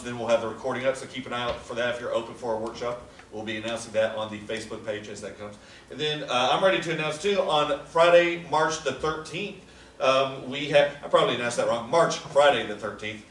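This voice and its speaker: A man's voice: mid-range voice, a bit bright. A man making casual announcements to a theater audience, chatty and teasing. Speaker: theater company organizer. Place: theater or event room. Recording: roomy, from far off, faint noise.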